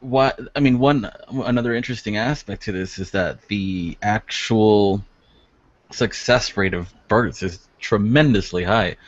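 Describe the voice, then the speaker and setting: Man, co-host, dry teasing banter, fairly low voice, muffled video-call audio. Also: measured pace, no echo. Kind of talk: casual joking web-show chat.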